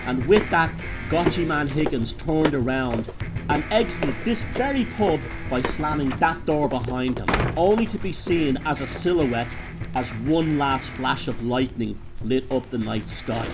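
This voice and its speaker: A man's voice: mid-range voice, animated, measured pace, poor audio. A man with an Irish accent narrating a scripted horror story in a dramatic storytelling style. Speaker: author and narrator. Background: music.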